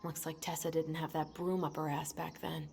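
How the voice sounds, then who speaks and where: Wry, lowish-voiced young woman. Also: flat delivery, moderate pace, gravelly, over loud music. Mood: wry, nostalgic. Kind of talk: game cutscene voice acting. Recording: faint background noise.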